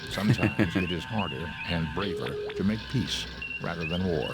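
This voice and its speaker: An actor playing a logical alien science officer in a video game, speaking in a measured, formal, precise way. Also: male voice, sits low, lively intonation, over loud music. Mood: composed, reflective. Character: logical, composed alien science officer, middle-aged man.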